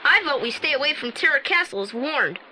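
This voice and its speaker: An excitable, wary boy sleuth in a vintage audio play. His voice is high and very animated, with a blunt, colloquial, grumbling way of talking and slight background noise. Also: fairly quick, full character voice. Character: excitable, wary teenage boy sidekick detective. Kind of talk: 1980s audio drama acting. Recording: slightly noisy old audio play transfer.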